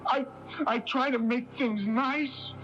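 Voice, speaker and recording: An actor playing a withdrawn, strained young adult son in a radio drama, hesitant and evasive. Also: male voice, very high-pitched, fairly even intonation, slow pace, sharp tone, full character voice. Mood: fed up. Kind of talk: 1980s radio drama acting. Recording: dull, noisy taped AM radio broadcast.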